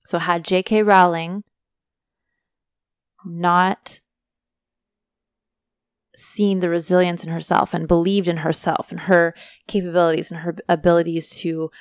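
A woman hosting a casual motivational podcast, talking chattily to her listeners while her voice is going hoarse. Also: fairly low pitch, level tone, measured pace, long pauses, dry recording, studio quality. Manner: chatty, motivational, addresses listeners as you guys. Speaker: podcast host. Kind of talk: casual solo podcast talk.

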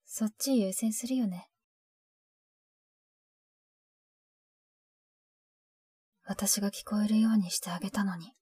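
A woman's voice: medium pitch, a little fast, mellow, slightly rough. An actress playing an earnest, needy, devoted schoolgirl in a Japanese audio drama, with an emotional, hesitant delivery. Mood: hurt, brooding. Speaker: voice actor.

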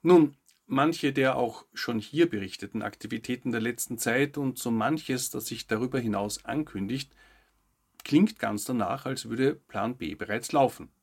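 A man's voice: medium pitch, measured pace, husky. A man hosting a news update, reading scripted narration with short commentary. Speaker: host of a weekly news update show.

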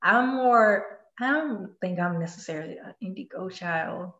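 A woman hosting a podcast, talking in a reflective, soft-spoken way with many fillers. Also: on the low side, expressive, measured pace. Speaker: podcast host. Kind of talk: casual podcast chat. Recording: roomy, dull lo-fi sound.

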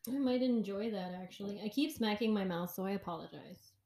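A woman's voice: mid-range voice, brisk, warm tone. A woman co-hosting a podcast, rambling in casual chat with many fillers. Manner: rambling, lots of fillers, laughs along. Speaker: podcast co-host. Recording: echoey room.

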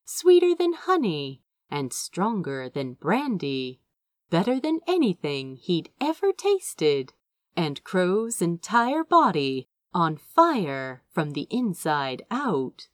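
A woman narrates fiction in a lowish voice that swings widely in pitch. She takes her time, drawing out phrases with expressive emphasis, and the sound is dry and close. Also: slow, dry recording. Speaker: amateur audiobook reader of fan fiction. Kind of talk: scripted narration, dramatic storytelling read aloud.

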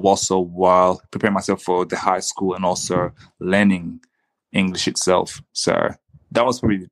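Man, native Swahili speaker, deep-pitched, dry sound, halting reflective storytelling, interview guest. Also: dry recording. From the Congo, raised in Zimbabwean refugee camps and Australia. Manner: halting, with frequent repeats and "you know".

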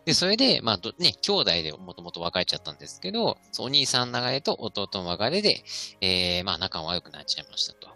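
A man giving an informal, impromptu history explanation in halting, choppy phrases with many fillers. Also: fairly low pitch, sing-song, pushed and bright, soft background music. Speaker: history club member, podcast panelist.

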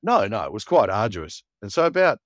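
A co-host, telling jokey stories in casual chat. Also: male voice, lowish voice, sing-song, fairly quick, no echo, clean studio sound.

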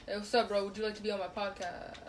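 A woman's voice: medium pitch, flat delivery, fast, slight background noise. A young woman hosting a casual online podcast, talking with strangers in a chatty, playful way full of fillers. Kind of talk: casual chat.

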